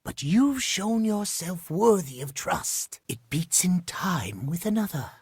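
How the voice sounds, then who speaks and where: A fastidious, tightly wound gentleman from a video game, speaking slowly in a breathy, close and dry voice. His courtly, formal delivery is earnest and theatrical. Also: high-pitched, sing-song, no room sound, exaggerated voice. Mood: tender, earnest. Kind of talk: video game character acting.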